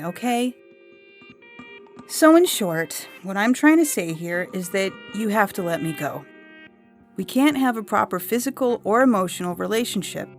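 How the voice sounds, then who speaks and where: A woman reading a comic story as a chatty, blunt young woman abducted by aliens, with a breezy, emphatic, slangy delivery. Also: medium pitch. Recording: music under the voice. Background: music.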